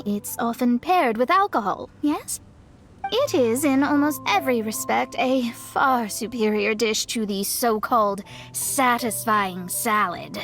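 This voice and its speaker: A woman's voice: fairly high pitch, fairly bright tone. A woman voicing a proud, earnest young female astrologer in a video game, self-assured and a little prim as she explains herself. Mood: earnest, eager.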